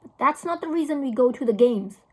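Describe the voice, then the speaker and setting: A woman voicing an earnest, squeamish father in a children's storybook, measured and correcting. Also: high voice, expressive. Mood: reproving.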